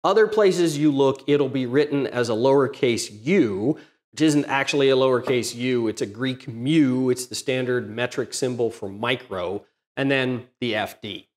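A man's voice: medium pitch, normal speed, darkish tone. Methodical middle-aged man explaining workshop tech. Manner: methodical, plain-spoken, technical. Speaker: DIY welding channel host. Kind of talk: explanatory tutorial talk to camera. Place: home workshop, indoors.

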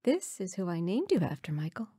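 A woman's voice: lowish voice, sing-song, fairly quick, warm tone, slightly rough, no echo, studio quality. An actress playing a restless young mother in an audio drama, affectionate yet ready to leave. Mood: tender. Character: restless young mother, affectionate but leaving her children.

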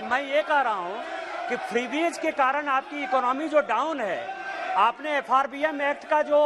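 A male member of parliament shouts his rebuttal in a combative tone, his voice pitched very high. Noise and clear crowd chatter fill the chamber around him. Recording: noisy chamber with loud crowd.

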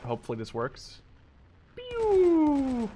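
A man's voice: extremely high pitch, wildly swooping pitch, slow pace, warm tone, a little hiss or hum. A man in his twenties chatting casually during gameplay, often swearing and exclaiming. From Canada. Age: 20s. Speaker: gamer, video creator. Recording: game gunfire and explosions behind. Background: war game gunfire, artillery.

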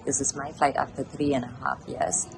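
A woman's voice: a bass voice, expressive, moderate pace. A woman in her thirties chatting casually to a handheld camera as a travel vlogger. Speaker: vlogger, mother of a toddler. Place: busy airport terminal. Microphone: camera held at arm's length. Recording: clear ambient travel noise behind.

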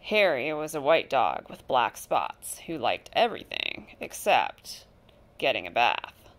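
A woman reading a children's picture book aloud, with expressive, deliberate storytelling and stressed key words. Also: extremely low pitch. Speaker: storybook reader.

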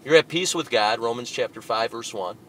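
A man preaching a fiery sermon, reading out a tract and rebutting it, moving between loud, emphatic bursts and low asides. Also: brisk, a little hiss or hum, rough recording.

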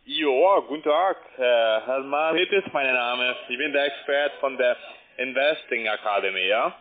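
A man selling by phone in halting, broken non-native German, often ending on a checking tag. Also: mid-range voice. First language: not German. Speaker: telephone trading salesman. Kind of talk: unscripted sales phone call. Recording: narrow muffled phone line.

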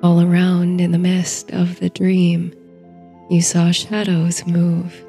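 A woman narrating a bedtime story and guided meditation in a soothing, hushed, softly breathy voice. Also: on the low side. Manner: soothing, hushed, unhurried delivery. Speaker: host and narrator of a sleep story series.

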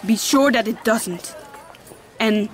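A woman's voice: a little high, takes it slowly, a little hiss or hum. An actress playing a stern, imperious woman healer in a fantasy audio drama, scolding in formal, archaic phrases. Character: stern, commanding woman healer and mother, scornful of fools. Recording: loud music behind the voice.

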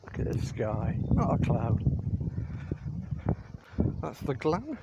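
A man's voice: mid-range voice, sing-song, takes it slowly, very noisy. A middle-aged English man narrating a casual, rambling vlog into his phone in an informal, wry way. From England. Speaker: vlogger. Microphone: phone at arm's length.

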